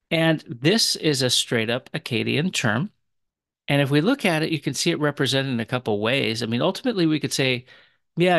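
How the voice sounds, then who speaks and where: A man's voice: medium pitch, creaky, fairly bright tone, no room sound, studio quality. A man teaching a recorded language lesson, explaining in a chatty way. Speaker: teacher of Aramaic.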